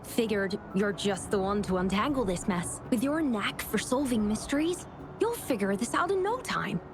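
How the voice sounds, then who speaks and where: An actress playing a folksy, brisk woman who oversees a mine, chatty and animated. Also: mid-range voice, noisy background. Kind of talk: game cutscene dialogue. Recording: game music underneath. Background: music.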